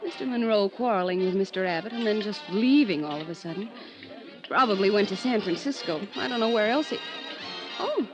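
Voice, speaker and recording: An American actress playing a friendly, teasing office secretary, breezy and bantering, in a vintage radio drama. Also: female voice, mid-range voice, lively intonation, fairly quick, over music, natural voice. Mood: confiding. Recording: dull vintage radio recording.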